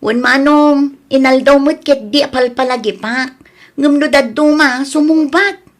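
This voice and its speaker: High-voiced Filipina actress as a concerned daughter. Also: female voice, unhurried, soft background music. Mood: worried, reporting. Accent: Ilocano Filipino. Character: adult daughter, concerned, scolding her mother. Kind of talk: Ilocano audio drama acting.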